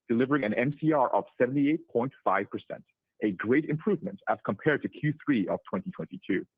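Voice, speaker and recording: Lively, husky man on a phone line. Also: medium pitch, no room sound. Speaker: chief executive. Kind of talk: prepared corporate earnings remarks. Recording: muffled narrowband conference call line.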